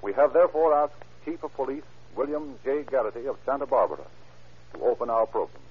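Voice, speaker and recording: A man's voice: mid-range voice, lively intonation, fast talker, a little hiss or hum. A man with an American accent announcing from a script in formal, declarative 1930s radio style. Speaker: announcer and sponsor spokesman. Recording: muffled vintage radio recording.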